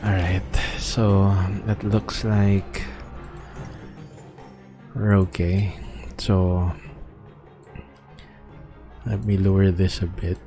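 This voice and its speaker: A man with a low voice streams a game, chatting casually at a slow, easy pace. Game music plays clearly behind him. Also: level tone, mellow. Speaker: game livestreamer. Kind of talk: casual live gameplay commentary. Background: video game music.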